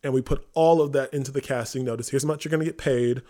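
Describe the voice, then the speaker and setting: A man talking casually on a podcast as one of the hosts, informal and animated, often acting out quotes. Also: mid-range voice, fast. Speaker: theater company member and podcast host.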